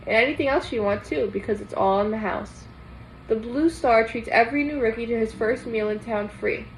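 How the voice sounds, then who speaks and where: A woman's voice: on the high side, brisk, roomy sound, natural voice, poor audio. Woman reading as a sly, folksy prankster man. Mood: coaxing. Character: sly, folksy male gas station prankster. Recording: clear background noise.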